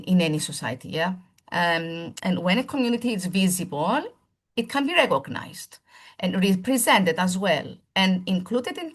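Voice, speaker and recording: A woman, a primary school teacher, giving a seminar presentation in formal lecture phrasing, earnest and often restarting as she searches for words. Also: on the low side.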